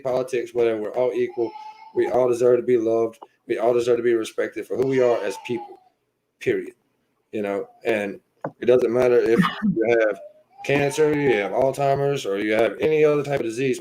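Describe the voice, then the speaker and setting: A man in his thirties telling his personal story as a podcast guest, earnest and halting, filling his pauses with "you know". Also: medium pitch, a little mellow, slight background noise, low-quality recording. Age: 30s.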